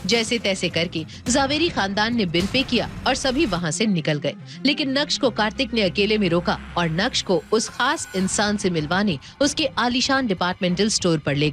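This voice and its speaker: A woman's voice: mid-range voice, lively intonation, moderate pace, hardly any pauses, soft background music. A woman narrating a scripted dramatic audio story.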